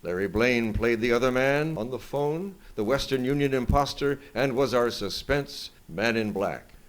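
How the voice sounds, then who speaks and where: A man's voice: medium pitch, moderate pace. A man announcing scripted credits in the style of an old-time radio show. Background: live music.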